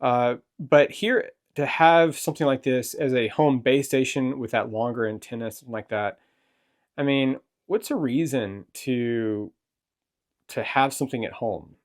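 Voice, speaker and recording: A man co-hosts a relaxed chat, prompting with questions and restating ideas in plain everyday words. His voice is at a middle pitch and easygoing. He keeps the talk moving toward the big picture. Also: unhurried. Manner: asks questions and sums up in plain terms. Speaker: host, parent co-presenter. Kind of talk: casual chat.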